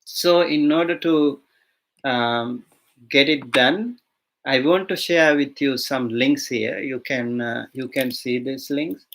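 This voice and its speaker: A man from Sri Lanka with a Sri Lankan accent, a monk teaching an online beginners' language lesson, unhurried with many fillers and restarts. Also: mid-range voice, fairly bright tone.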